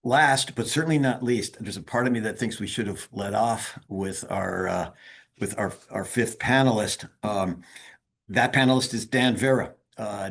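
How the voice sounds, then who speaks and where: A man moderating a virtual panel discussion in a halting, pause-filled way over a video call. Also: fairly low pitch.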